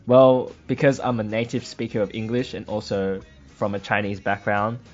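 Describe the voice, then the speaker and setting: A man's voice: on the low side, fairly even intonation, normal speed, a little mellow, highs cut off, soft background music. A man co-hosting a podcast English lesson as the native English speaker, with dry, teasing replies.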